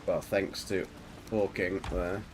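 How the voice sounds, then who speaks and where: A man's voice: low-pitched, level tone, takes it slowly, background noise. A man, chatting casually to viewers while he plays and mutters to himself. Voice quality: soft voice. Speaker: game streamer. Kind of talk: relaxed live gameplay commentary.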